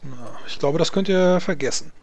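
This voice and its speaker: A man's voice: high-pitched, normal speed, creaky, darkish tone, pitched above the performer's own voice. A man playing a blunt, sardonic male gate guard in a tabletop game.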